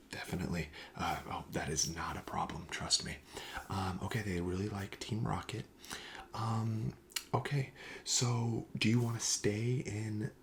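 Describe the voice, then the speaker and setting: Guy acting as a friendly store clerk, asmr roleplay, soft lowish voice that drifts into whispers, reassuring, bit of background noise. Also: natural voice. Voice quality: soft, breathy, often slipping into whisper. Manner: hesitant, casual, accommodating salesman patter. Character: friendly, reassuring male card shop clerk.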